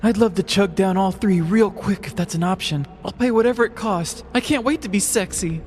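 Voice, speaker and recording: A woman's voice: mid-range voice, a little fast, darkish tone, slight background noise, lightly acted. A woman playing a desperate, eager young customer in a scripted Halloween podcast skit, pleading and earnest. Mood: eager, pushy.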